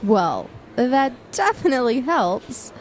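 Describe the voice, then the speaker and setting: A woman playing a supportive student journalist, gentle and lightly sarcastic, in naturalistic audio drama. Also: on the high side, very animated, slow, slight background noise. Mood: friendly, curious. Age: young adult.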